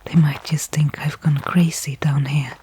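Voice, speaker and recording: A woman playing a weary but hopeful stranded space worker in a whispered ASMR sci-fi roleplay, speaking in hushed, reflective narration with pauses. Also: deep voice, fairly even intonation, slight background noise.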